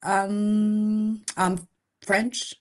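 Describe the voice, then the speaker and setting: A woman's voice: mid-range voice, level tone, extremely slow, darkish tone. A woman from Senegal, co-director of a fund, giving a hesitant presentation on a video call, with many fillers and restarts. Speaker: co-director of a funding organization. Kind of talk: online info session presentation.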